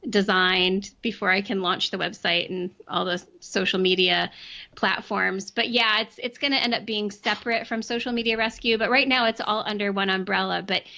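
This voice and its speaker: Chatty woman with a bright voice. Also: medium pitch, steady tone, moderate pace, vocal fry, lo-fi sound. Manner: chatty, many ums and restarts. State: losing her voice, clearing throat. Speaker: podcast guest. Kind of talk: casual interview chat.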